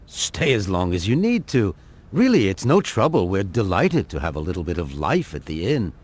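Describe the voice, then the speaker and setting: Obliging village innkeeper, lowish pitch, very lively intonation, formal courtesy, adventure game. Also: male voice, moderate pace, husky, exaggerated voice. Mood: courteous, obliging. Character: polite, obliging male village innkeeper, middle-aged or older.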